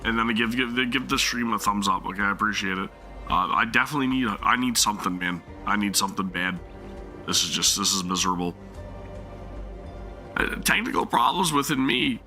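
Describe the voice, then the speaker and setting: A man's voice: fairly low pitch, fairly quick, pushed and bright, soft background music. A man streaming a game live, chatting casually while his sore throat makes him lose his voice, rambling and apologizing often. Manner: apologetic, rambling, many restarts.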